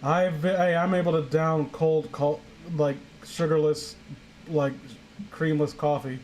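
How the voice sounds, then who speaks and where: A young man hosts a comic read-aloud in a somewhat high voice. He talks casually and breaks off for sarcastic, sweary commentary. There is faint noise behind him. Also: flat delivery, slow pace, darkish tone, low-quality recording. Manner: sarcastic, sweary, exasperated asides. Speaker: host reading a story aloud. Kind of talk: casual comic commentary while reading fan fiction.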